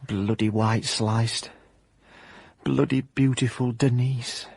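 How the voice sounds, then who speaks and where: A soft-hearted Yorkshire private eye in a radio comedy drama. His voice is husky and closely, drily recorded, and he talks with wistful, hesitant self-importance. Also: mid-range voice, steady tone, slow pace, no echo, exaggerated voice. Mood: wistful, glum. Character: hapless, sentimental Yorkshire private investigator, pompous but soft-hearted. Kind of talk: comic radio drama acting.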